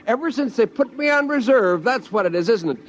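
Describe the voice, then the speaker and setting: Exasperated, animated radio-drama husband. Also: male voice, extremely high pitch, fairly quick, noisy background. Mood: indignant. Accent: American. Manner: exasperated, indignant, theatrical. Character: frustrated out-of-work bassoonist husband, excitable and sarcastic. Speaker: lead actor and first-person narrator. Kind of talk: 1950s radio drama acting. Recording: muffled 1950s radio broadcast, music behind.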